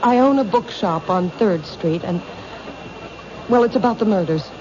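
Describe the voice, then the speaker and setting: A woman acting in a radio mystery as a calm but fearful bookshop owner, speaking hesitantly and with composure. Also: medium pitch, moderate pace, close to an everyday voice. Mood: calm, uneasy. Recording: muffled, noisy old radio recording.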